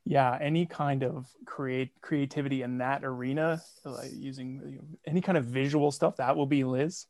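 A man's voice: mid-range voice, fairly even intonation, moderate pace, hardly any pauses, darkish tone, no room sound. A man guest on a podcast, chatting casually and in a jokey way. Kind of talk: casual interview chat.

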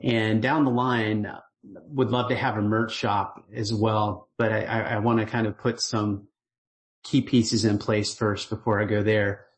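A middle-aged man, the writer of a newsletter, gives a casual voicemail-style update, relaxed and earnest, rambling with fillers and restarts. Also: on the low side, measured pace, low-quality recording. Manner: grateful and earnest.

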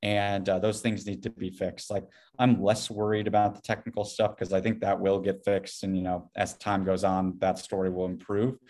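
Male podcast guest, low voice, casual and rambling delivery with plenty of hedging. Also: level tone, normal speed, hardly any pauses, a little mellow. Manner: rambling, hedging with frequent "you know". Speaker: podcast guest, Bitcoin company CEO. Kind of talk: casual interview conversation.

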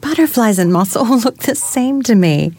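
An actress playing a teasing, affectionate wife in a naturalistic audio drama, wry and casual. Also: female voice, a little high, animated, moderate pace, darkish tone. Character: teasing, affectionate pregnant wife, unimpressed by science.

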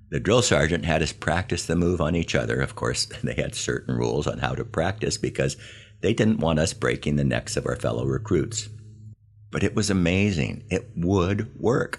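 An older American man with a gravelly voice, narrating a scripted humorous memoir as a podcast storyteller in a wry, self-deprecating manner with deliberate pauses. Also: extremely low pitch.

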